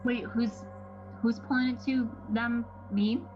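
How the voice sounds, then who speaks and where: A woman's voice: a little high, steady tone, unhurried. A woman playing a tabletop game, chatting casually in a tentative, questioning way. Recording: dull lo-fi audio with music behind. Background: music.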